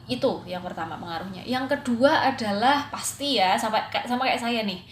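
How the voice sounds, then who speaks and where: A woman's voice: a little high, moderate pace. A woman with a Javanese regional accent in Indonesian, a schoolteacher giving an informal explanatory presentation, conversational, posing questions and answering them herself. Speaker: elementary school English teacher presenting coursework. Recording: roomy echo, faint background noise.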